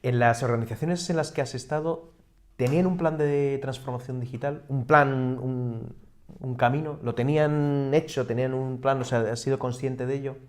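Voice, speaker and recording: A man's voice: medium pitch, fairly even intonation, moderate pace. A man with a mid-range voice hosts a conversational interview. He also teaches at a university. He phrases his questions hesitantly, restarting and reworking them as he goes. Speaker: interview host, university lecturer.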